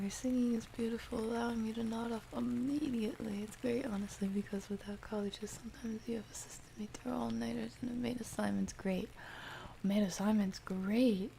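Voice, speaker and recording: A soft-spoken woman hosting an ASMR livestream, chatting casually and gently, often dropping toward a whisper. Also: on the high side, steady tone, normal speed, vocal fry, slight background noise, rough recording. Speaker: livestream host. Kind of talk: ASMR livestream, casual chat reading and answering viewer comments.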